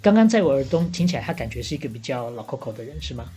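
A woman's voice: extremely low pitch, very animated, racing, warm tone, breathy edge, faint music behind. A woman with a Taiwanese Mandarin accent chatting casually as a podcast host, playing a bartender who gives analytical, bantering advice. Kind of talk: casual chat, giving advice.

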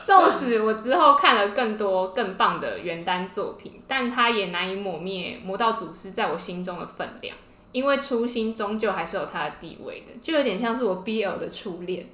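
A woman's voice: medium pitch, expressive, moderate pace, pushed and bright. A woman with a Taiwanese Mandarin accent co-hosting a podcast in casual, gushing fangirl chat. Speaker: podcast co-host. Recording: roomy indoor echo.

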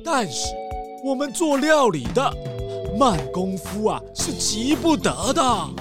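A woman playing a genial, unhurried panda restaurant cook in a children's audio drama, soothing and drawn out. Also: a little high, very animated, music in the background. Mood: patient, proud.

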